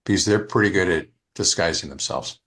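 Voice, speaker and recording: A man's voice: low voice, brisk, a bit bright. A man making casual remarks and asking questions on an online group call, often restarting his sentences. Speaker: participant asking questions and commenting. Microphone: online call, off camera.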